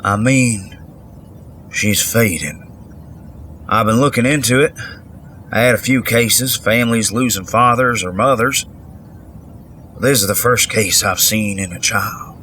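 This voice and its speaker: An actor playing a kindly older country doctor with a folksy manner in an audio drama. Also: male voice, fairly low pitch, measured pace, pauses often, sharp tone, slight background noise. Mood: grave. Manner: folksy, drawling endearments.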